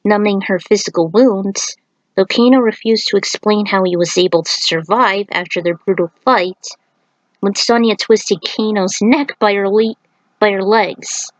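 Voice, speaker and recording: A woman's voice: mid-range voice, measured pace, dry recording, low-quality recording. A woman narrating a scripted story, occasionally stumbling over words as she reads. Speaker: narrator reading own fan fiction.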